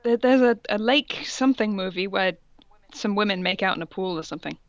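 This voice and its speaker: A woman's voice: medium pitch, fairly quick, no echo, highs cut off. A woman co-hosting a comedic read-aloud, amused and breaking off for mocking asides. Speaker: co-host reading fan fiction aloud.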